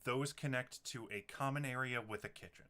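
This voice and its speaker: A man narrating a tabletop roleplaying game as game master, talking haltingly as he thinks things through. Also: medium pitch, sharp tone.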